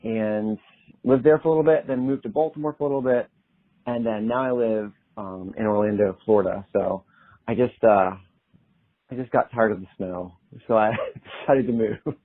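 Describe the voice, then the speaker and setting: Young man, singer-songwriter guest, relaxed, chatty, tinny phone line. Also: on the low side, moderate pace. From Pennsylvania. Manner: easygoing, chatty, laughs often. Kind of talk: casual interview chat.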